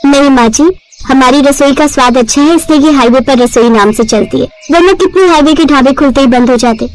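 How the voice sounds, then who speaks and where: A woman's voice: sits high, brisk, soft background music, lightly acted, rough recording. A woman voicing a principled, patient young daughter-in-law in a story. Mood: firm.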